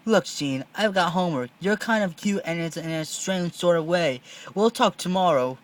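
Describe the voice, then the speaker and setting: A man's voice: high voice, moderate pace, lightly acted. A man voicing a no-nonsense, sassy girl in an emphatic, exasperated manner for a storybook read-along.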